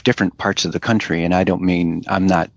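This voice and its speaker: Male guest, deep gravelly voice, dull dry remote audio, rambling. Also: steady tone, a little fast, dry recording. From United States. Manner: rambling, loose, with fillers and restarts. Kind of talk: casual conversational interview.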